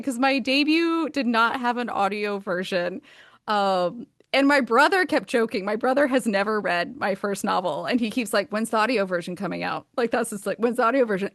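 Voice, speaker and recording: A woman's voice: medium pitch, a bit bright, no echo, clean studio sound. Chatty, enthusiastic American woman author. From United States. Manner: enthusiastic, chatty, many fillers like um and like. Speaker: author and guest. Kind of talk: casual interview conversation.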